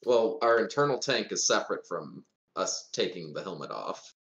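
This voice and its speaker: A man's voice: low voice, big pitch swings, normal speed, creaky, fairly bright tone, roomy sound, lo-fi sound. A man playing a tabletop game, chatting casually about the rules.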